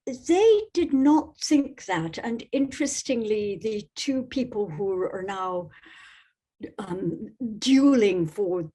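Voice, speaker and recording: A woman from Scotland giving conversational interview answers as a guest, in a measured, wry way with a husky voice. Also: medium pitch, animated, warm tone. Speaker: guest novelist.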